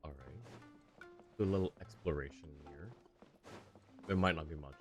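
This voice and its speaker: A man with a very deep voice chatting casually on a game livestream. He rambles with fillers and chuckles, and faint game music plays underneath. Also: moderate pace. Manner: rambling, laughing, filler-heavy. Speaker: streamer. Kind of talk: casual livestream gameplay commentary.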